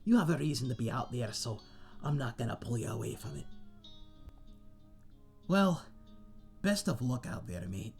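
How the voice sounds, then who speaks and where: A man playing a friendly tavern owner and former sailor, husky and chatty, telling tales in a folksy seafaring dialect in a fantasy audio roleplay. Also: mid-range voice, very animated, moderate pace, pauses often, a little mellow, soft background music. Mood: caring, playful. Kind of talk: ASMR roleplay monologue in a fantasy setting.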